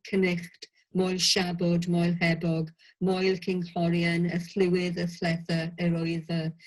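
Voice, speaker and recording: A middle-aged woman with a South Wales Valleys accent reads her own reflective prose in a slow, measured way. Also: fairly low pitch, a little mellow, poor audio. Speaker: writer reading her own piece. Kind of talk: scripted reading of personal prose.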